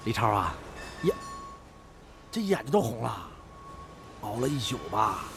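Nice admiring factory worker, radio play, real animated pitch, noisy ambience behind. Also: male voice, a little slow. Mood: admiring, concerned. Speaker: supporting actor in a radio drama. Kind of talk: Chinese radio drama acting.

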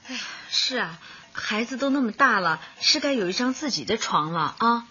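A woman's voice: fairly high pitch, lively intonation, moderate pace, sharp tone, slightly rough, exaggerated voice. A young woman playing an eager, respectful community officer in a Chinese radio drama, deferential in manner. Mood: forgiving, sympathetic. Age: young adult. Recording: muffled radio drama with faint music.

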